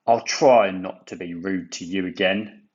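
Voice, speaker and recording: A man's voice: low-pitched, fairly even intonation, measured pace, a little mellow, muffled recording. A man voicing a grumpy, haughty crane in a children's storybook, brusque and grudging in manner. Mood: grudgingly contrite.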